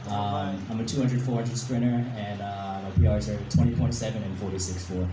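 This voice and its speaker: Lowish man over music, echoey. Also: fairly even intonation, brisk. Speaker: athlete and former coach. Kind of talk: self-introduction. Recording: echoey sound with music behind.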